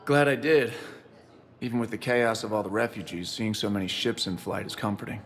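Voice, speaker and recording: An actor voicing a grieving male shuttle pilot, quiet and reflective. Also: fairly low pitch, steady tone, brisk, lightly acted. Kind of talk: video game voice acting.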